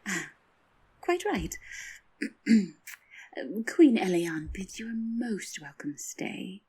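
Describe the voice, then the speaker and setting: A woman's voice: mid-range voice, big pitch swings, extremely slow, a little mellow, breathy edge, heavily acted. A woman playing a smug, deferential griffin courtier in an audio roleplay, speaking with ceremonious politeness.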